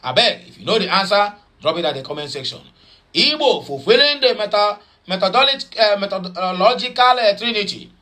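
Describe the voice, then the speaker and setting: A man with a Nigerian Pidgin accent talks through the news in a chatty, mocking way. His voice sits high and sounds bright and sharp. He rambles, repeats himself and drops in Igbo phrases. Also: lively intonation, fairly quick, faint music behind. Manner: rambling with many fillers and repeats. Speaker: news commentator. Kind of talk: casual news commentary.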